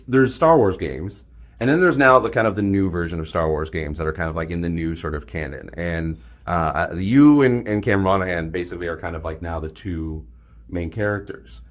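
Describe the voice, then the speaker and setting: A Canadian man interviewing in a casual, chatty way, with rambling, halting phrasing. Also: sits low.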